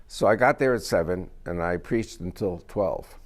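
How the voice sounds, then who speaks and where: Older joking storyteller man, lowish voice. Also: normal speed. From United States. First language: Spanish. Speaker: guest speaker. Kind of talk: informal talk to a small group.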